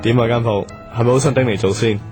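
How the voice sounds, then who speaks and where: An actor playing a cheeky, roguish but devoted boyfriend in a radio drama, speaking glib, playful Hong Kong Cantonese. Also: male voice, mid-range voice, steady tone, quick pace, a little mellow, close to an everyday voice. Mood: teasing. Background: background music.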